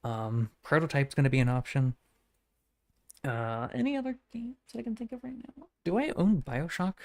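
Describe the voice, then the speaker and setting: Monotone man, dry close sound. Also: on the high side, moderate pace, dry recording. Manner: monotone, rambling, self-correcting. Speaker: streamer. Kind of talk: casual stream sign-off chat.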